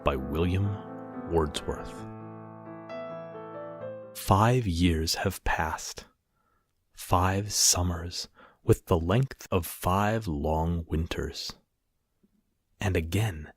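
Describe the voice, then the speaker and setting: A man with a husky, breathy voice gives a solemn poetry reading in measured short phrases with pauses between them. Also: low voice, dry recording. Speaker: poetry reader.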